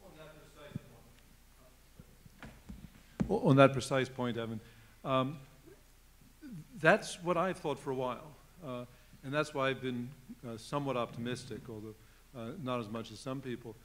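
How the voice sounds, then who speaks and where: A man's voice: medium pitch, normal speed, long pauses, a little hiss or hum. A man speaking on a legal panel, rambling and joking, with lots of filler sounds. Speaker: conference panelist. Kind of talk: panel discussion.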